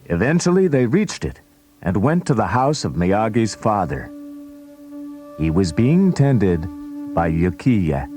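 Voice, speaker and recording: A man's voice: lowish voice, big pitch swings, slow pace, over music. A man reading scripted storybook narration as the narrator of a read-along recording.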